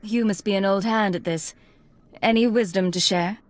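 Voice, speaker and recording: Female spy acted by a woman, mid pitch, composed, direct, cool, video game dialogue. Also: steady tone, normal speed, lightly acted. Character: cool, composed female Imperial secret agent.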